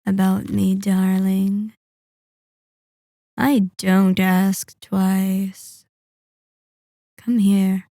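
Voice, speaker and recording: A woman voicing a centuries-old, sadistic and possessive vampire in an intimate ASMR roleplay, speaking in a deliberate, taunting, commanding manner. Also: mid-range voice, vocal fry, dark tone, dry recording, clean studio sound. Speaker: audio roleplay performer.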